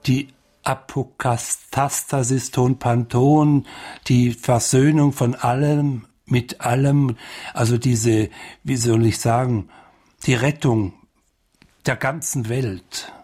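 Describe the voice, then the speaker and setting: A man giving unscripted, reflective commentary on a film, halting and thinking aloud in fragments. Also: medium pitch, steady tone, dark tone. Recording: clear music behind the voice.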